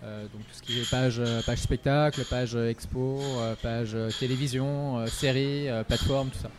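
A man's voice: mid-range voice, fairly even intonation, normal speed, background noise. A man who works as a culture editor, chatting casually with wry jokes and many fillers, in a bar. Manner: wry, joking, filler-heavy. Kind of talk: casual chat.

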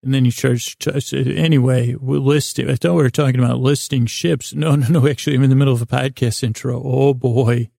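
A man hosting a sleep podcast, meandering through a halting, self-correcting bedtime monologue in a creaky voice. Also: medium pitch, steady tone, mellow, no room sound, clean studio sound.

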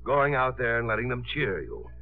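An actor playing a suave but fussy suitor with a wry, theatrical, insistent manner, in 1950s radio comedy style. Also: male voice, mid-range voice, sing-song, normal speed, creaky. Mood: reproachful, wry. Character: suave, fussy suitor feigning frailty for laughs. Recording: tinny vintage radio broadcast, faint music.

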